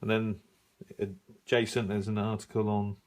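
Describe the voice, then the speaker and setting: A middle-aged man giving a casual, unscripted review, musing and halting as he thinks aloud while browsing. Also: lowish voice, little pitch movement, a little mellow. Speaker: radio hobbyist reviewing an old magazine.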